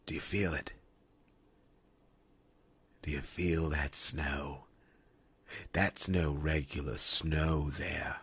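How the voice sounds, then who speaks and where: Man acting out a breathy, drawling demon villain. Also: very deep voice, a bit bright, full character voice. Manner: breathy, taunting, drawn-out whispery delivery. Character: sadistic gloating demon servant of a dark queen. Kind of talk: ASMR fantasy roleplay acting.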